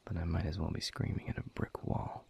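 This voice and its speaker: A man playing a weary, bitter exiled demon in an audio drama, speaking in a gravelly, breathy half-whisper with a slow, sardonic delivery. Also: low-pitched, lively intonation, mellow, highs cut off, heavily acted. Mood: weary frustration. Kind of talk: dramatic audio roleplay monologue to the listener.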